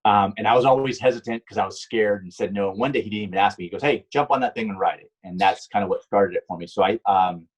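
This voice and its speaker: A man's voice: on the low side, quick pace, few pauses, husky, poor audio. A middle-aged man from Puerto Rico, a guest answering interview questions in a loose, rambling way with many ums and restarts. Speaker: guest, stunt driver and car designer.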